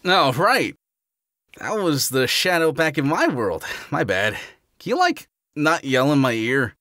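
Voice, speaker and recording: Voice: medium pitch, sing-song, measured pace, a bit bright, dry recording, studio quality. A performer voicing a goofy, laid-back male hedgehog hero in a fan audio drama, talking in a casual, jokey, slangy way. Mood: groggy.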